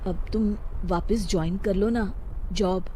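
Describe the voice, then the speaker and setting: A woman's voice: mid-range voice, steady tone, measured pace, heavy background noise, pitched below the performer's own voice, natural voice. A woman voicing a mild young husband, hesitant and reasoning, in a cartoon moral story. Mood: worried, hesitant. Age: young adult.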